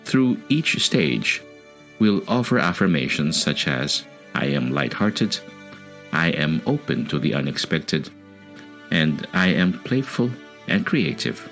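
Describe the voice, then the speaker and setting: A man guides a meditation in an extremely low voice. He speaks slowly and deliberately, leaving pauses between phrases. Soft music sits behind him. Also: sing-song, vocal fry. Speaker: meditation podcast host. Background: music under the voice.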